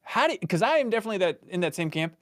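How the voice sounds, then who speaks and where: A man's voice: sits high, big pitch swings, racing, dry recording, studio quality. A man in casual podcast chat, asking questions in a self-deprecating way.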